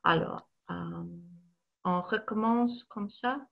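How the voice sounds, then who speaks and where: A woman's voice: mid-range voice, slow, faint music behind. A woman teaching a French class over a video call, giving patient instructions and repeating her words. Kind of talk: online language class instruction. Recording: dull lo-fi video call audio.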